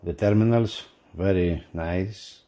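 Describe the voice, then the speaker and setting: A male reviewer talks through an unboxing in a lowish, mellow voice. His delivery is very slow, with halting, broken phrasing as he describes what he handles. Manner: halting, broken phrasing in non-native English. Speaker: product reviewer for a magazine. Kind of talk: unboxing commentary.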